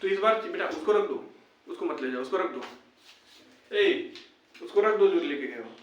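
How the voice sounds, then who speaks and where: A man's voice: high voice, expressive, moderate pace, muffled recording. Young man, informal appeal to viewers, repetitive and urging, heavily echoing room, distant mic. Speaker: video host addressing fellow job candidates. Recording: very echoey room, distant microphone.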